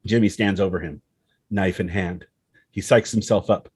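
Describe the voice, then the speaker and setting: A man narrates screenplay action and scene headings as scripted narration. His voice is lowish and rough-edged, husky, and recorded very dry. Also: steady tone, moderate pace, darkish tone, dry recording. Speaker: stage-direction narrator.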